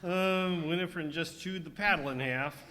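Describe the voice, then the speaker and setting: A sarcastic, grumbling male castaway, played by a man, speaks in a sharp, forceful voice set fairly high. It is radio comedy acting, with faint noise in the room. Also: a little slow, low-quality recording. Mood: wry.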